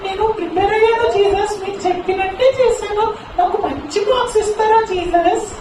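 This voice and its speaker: Woman as cheeky little boy puppet, very high squeaky voice, children's show, echoey noisy hall. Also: a little fast, music in the background. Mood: meek, respectful. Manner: exclamatory, playful, addressing the children. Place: hall with live audience.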